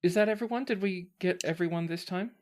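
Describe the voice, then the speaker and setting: A woman's voice: lowish voice, quick pace. A woman hosting and moderating a casual podcast question session as its game master.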